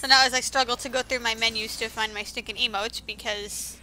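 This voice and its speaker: Bright, high-pitched woman doing game commentary. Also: fairly even intonation, fairly quick, slight background noise, poor audio. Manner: sarcastic, mildly profane asides. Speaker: gaming video host.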